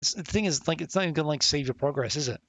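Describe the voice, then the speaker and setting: A man's voice: on the high side, very fast, fairly bright tone, dry recording. A man live-streaming a puzzle game, muttering and swearing in frustration as he guesses passwords. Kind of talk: live-stream commentary while gaming.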